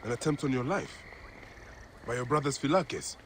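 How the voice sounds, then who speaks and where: An actor playing a brooding Egyptian warrior and vengeful grieving father in a game, speaking gruffly and with measured gravity. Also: medium pitch, lively intonation, a bit bright, a little hiss or hum, lightly acted. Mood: grim, questioning. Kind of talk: video game cutscene acting.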